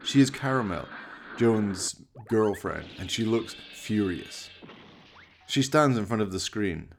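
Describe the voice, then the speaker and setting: A man's voice: lowish voice, lively intonation, slow, gravelly, slight background noise. A man narrating a radio play from a script, describing the action in the present tense.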